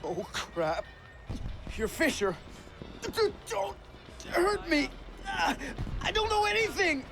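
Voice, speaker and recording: Cowardly male henchman, extremely high cracking pitch, creaky, whimpering pleas for a game scene. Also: very animated, slow, long pauses, music in the background, heavily acted. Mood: terrified pleading. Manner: whimpering, pleading. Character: panicky, cowardly male street thug pleading for mercy. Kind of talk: video game cutscene acting.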